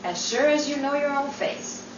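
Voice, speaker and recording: A woman acting as a steady, comforting young woman friend in 1940s stage style, heard from far off on an echoey stage. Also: high voice, normal speed, lo-fi sound, noisy background. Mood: soothing. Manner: calm, comforting. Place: theater stage.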